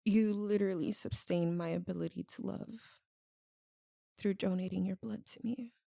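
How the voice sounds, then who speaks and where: A woman playing an anguished, tender, guilt-ridden vampire lover in an ASMR roleplay, speaking in a halting, intimate, pleading way. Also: mid-range voice, steady tone, vocal fry, dark tone, no echo, studio quality. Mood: grave, resigned.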